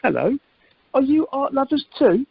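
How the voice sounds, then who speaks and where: A woman's voice: high voice, sing-song, normal speed, creaky, noisy background, exaggerated voice. A woman playing a sensible, exasperated young husband in an amateur radio play over the telephone. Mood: polite, hurried. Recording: muffled telephone conference line.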